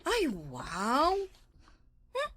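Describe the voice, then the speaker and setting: A woman playing an excitable, outspoken neighbour in an Ilocano radio drama, full of exclamations. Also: on the high side, drawn-out words, no echo, full character voice. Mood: impressed. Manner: exclamatory, animated.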